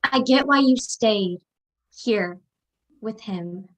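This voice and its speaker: An actress playing a young college-bound daughter, wounded but resolute, in a staged play reading. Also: female voice, mid-range voice, takes it slowly, low-quality recording. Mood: reassuring, knowing.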